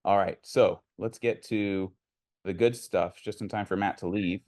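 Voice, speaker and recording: A man's voice: fairly low pitch, steady tone, a little slow, a little mellow. A man who is a researcher giving an informal academic lecture, explaining things in a conversational way with rhetorical questions and asides.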